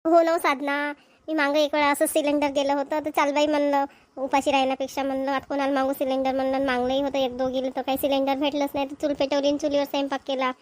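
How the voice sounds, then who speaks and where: A high-pitched cartoon village housewife, played by a woman, harried and full of complaints. She chats and grumbles repetitively in a Vidarbha Marathi accent. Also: monotone, quick pace, lightly acted. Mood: grumbling, explaining. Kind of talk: amateur cartoon comedy dialogue.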